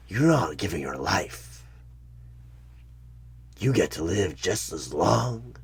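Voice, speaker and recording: A man playing a sinister evil tempter in a horror ASMR roleplay, coaxing and menacing in a slow, raspy half-whisper as a job interviewer. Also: a bass voice, fairly bright tone, full character voice. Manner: slow, deliberate, persuasive, repeating words for emphasis.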